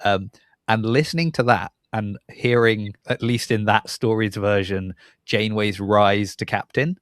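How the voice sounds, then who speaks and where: A man's voice: lowish voice, a little slow, no room sound, clean studio sound. A man hosting a podcast in a casual, conversational interview style. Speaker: podcast host.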